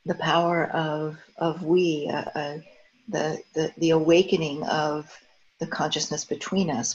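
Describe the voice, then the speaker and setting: A woman's voice: low voice, muffled recording. An American woman introducing herself earnestly on a video call, searching for words as she goes. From United States. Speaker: founder of a dialogue organization.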